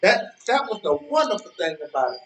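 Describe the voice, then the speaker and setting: A man playing a smug romantic rival in a stage drama, high-voiced and swinging widely in pitch. He needles and boasts in colloquial talk, hammering phrases by repeating them. The sound is echoey, as in a bare room. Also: very animated, brisk, fairly bright tone, slight background noise. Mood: wistful, boastful. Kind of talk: stage play acting. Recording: roomy, echoey, distant from microphone.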